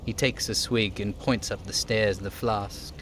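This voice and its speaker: Low-voiced man narrating a video game. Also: fairly even intonation, moderate pace, fairly bright tone, slight background noise. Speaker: streamer. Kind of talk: live reading of game narration.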